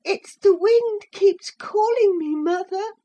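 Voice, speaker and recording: A woman voicing a timid, frightened little boy in a storybook reading, with a high, tearful voice. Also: takes it slowly, mellow. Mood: fearful.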